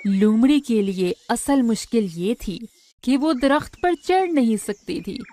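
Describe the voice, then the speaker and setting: A woman's voice: a little high, lively intonation, measured pace, mellow. A woman narrating a scripted moral story for children.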